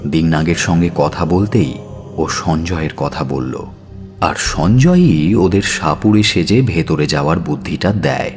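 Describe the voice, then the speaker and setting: A man narrating a scripted audio story in a measured, dramatic style. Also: very deep voice, expressive, a little mellow. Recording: music bed under narration.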